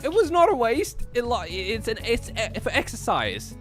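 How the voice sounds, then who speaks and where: A man's voice: very high-pitched, wildly swooping pitch, normal speed, fairly bright tone, soft background music. A man reading a visual novel's narration aloud on a casual game stream and bantering between passages.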